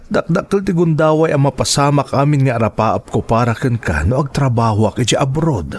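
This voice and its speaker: A man playing a devoted, gentle father and dutiful son in a radio drama, speaking earnestly and coaxingly. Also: medium pitch, animated, fairly quick, close to an everyday voice. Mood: serious, pleading.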